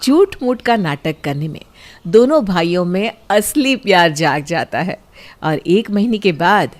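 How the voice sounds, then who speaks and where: A woman's voice: fairly low pitch, big pitch swings, a little slow. A woman reading scripted narration for a story.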